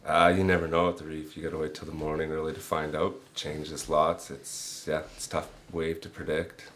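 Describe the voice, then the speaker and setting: A man's voice: very deep voice, level tone, moderate pace, a bit bright, a little hiss or hum. A male surfer from Vancouver Island in Canada, giving a laid-back contest interview in short, plain sentences.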